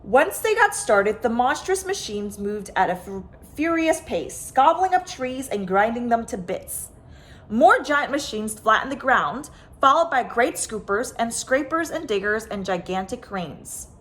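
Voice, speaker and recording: A woman reading a children's picture book aloud, expressive and dramatic, stretching words for emphasis. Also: on the high side, unhurried. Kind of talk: animated children's story reading.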